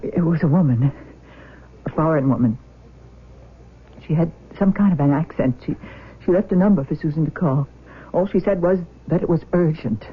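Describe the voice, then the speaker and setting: A woman's voice: low-pitched, normal speed, long pauses, slightly rough. An actress playing an anxious, guilt-ridden middle-aged mother in a radio drama, hesitant and fretful in delivery. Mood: fearful, hesitant. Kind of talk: 1970s radio drama acting. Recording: thin phone-like old radio recording.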